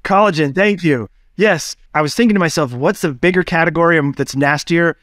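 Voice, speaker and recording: A man hosts a business podcast in a lively, chatty way, his voice sitting a little high. He jumps quickly between thoughts with casual fillers. The recording is dry and close, with no room echo. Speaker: podcast host.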